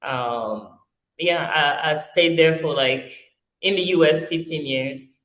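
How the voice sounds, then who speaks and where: A woman's voice: sits low, takes it slowly. Hesitant woman in an echoey room. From Congo-Kinshasa, raised in France. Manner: hesitant, many fillers and restarts. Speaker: guest. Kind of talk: casual interview storytelling. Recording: echoey roomy sound.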